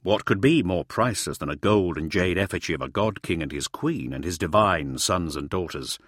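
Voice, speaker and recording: A man voicing a matter-of-fact, quietly authoritative male expedition leader in an audiobook, measured, firm and a little impatient. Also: deep voice, animated, no room sound, studio quality. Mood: firm, explanatory. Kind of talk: audiobook character dialogue.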